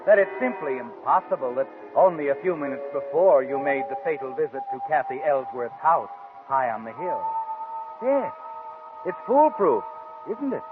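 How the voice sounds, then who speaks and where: A man's voice: medium pitch, lively intonation, natural voice. An actor playing a sinister, all-knowing male narrator in a 1940s radio mystery, with an ominous, knowing delivery. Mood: mocking, knowing. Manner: ominous, knowing, deliberate phrasing. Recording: muffled vintage 1940s radio recording. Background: dramatic music.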